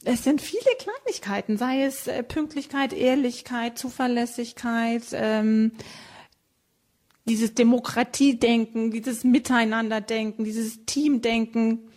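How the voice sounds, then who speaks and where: A woman's voice: a little high, a little slow, darkish tone. A woman giving a documentary interview, cheerful and with frequent hesitant fillers.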